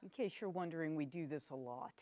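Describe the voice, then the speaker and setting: A man joking around in relaxed banter while he is fitted with a microphone before speaking. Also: fairly high pitch, fast talker. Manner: jokey, relaxed. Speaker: next speaker.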